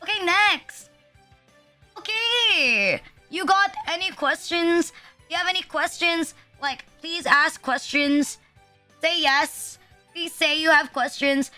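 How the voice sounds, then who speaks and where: A high, bright-toned young woman chats loosely on a livestream, her nose a little stuffed and sniffly. She rambles and jokes at her own expense, dropping swears along the way. Soft music plays faintly behind her. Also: takes it slowly. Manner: rambling, casually profane, self-deprecating. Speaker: VTuber streamer. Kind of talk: casual livestream chat.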